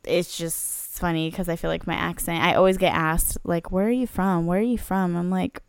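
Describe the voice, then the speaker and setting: A woman's voice: lowish voice, fairly even intonation, normal speed, vocal fry, clear, resonant tone, dry recording, studio quality. A young woman from the United States chatting casually as a podcast co-host, telling stories in an informal, slangy way.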